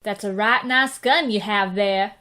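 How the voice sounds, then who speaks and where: A woman's voice: medium pitch, unhurried, bright, forceful tone, a little hiss or hum. A woman playing an eager, folksy young frontier boy who idolizes his hero in a western radio drama. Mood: curious.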